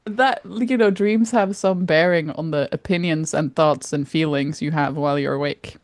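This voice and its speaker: A woman's voice: sits low, moderate pace, dry recording, clean studio sound. A young adult woman, co-hosting a casual podcast chat, curious, laughing often and swearing casually. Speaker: podcast co-host.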